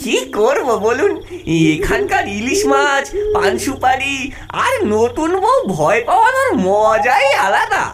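A woman voicing a centuries-old fish-ghost alien secret agent in a cartoon, excitable and soft-hearted, dramatically exclaiming and pleading. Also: high voice, measured pace. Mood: chatty, persuading. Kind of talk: animated cartoon voice acting.

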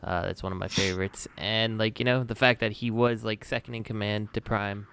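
A man's voice: fairly low pitch, fairly even intonation, fairly quick, gravelly, fairly bright tone, clean tone. A man talking casually as a panelist on a podcast, explaining his own opinions. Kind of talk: casual chat.

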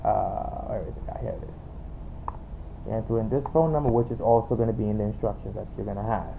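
A man with a Trinidadian accent giving an informal, halting software tutorial, explaining off the cuff and repeating himself. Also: fairly low pitch, vocal fry. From Trinidad and Tobago. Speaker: tutorial presenter. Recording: tinny phone-like home recording, background noise.

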